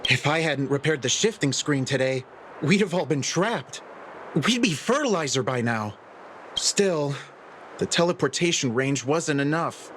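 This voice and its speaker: A man's voice: a little high, expressive, unhurried, a bit bright, slight background noise. A man voicing a self-assured craftsman in a video game. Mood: relieved. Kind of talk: video game character acting.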